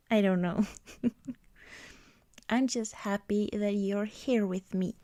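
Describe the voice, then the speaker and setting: A woman doing an ASMR roleplay as an affectionate girlfriend, chatting casually and hesitantly in a soft, intimate way. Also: medium pitch, steady tone, slow. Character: affectionate, cozy girlfriend baking at Christmas.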